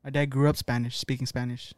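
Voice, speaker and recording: A young man streaming casual chat, teasing his friend with jokes and loud swings in volume. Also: medium pitch, little pitch movement, quick pace, no room sound, clean studio sound.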